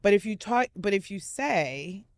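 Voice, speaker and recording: A woman in her forties from Miami, an interview guest telling her story in a casual, animated way with many restarts and fillers. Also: mid-range voice, a little slow, no echo. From Miami, USA.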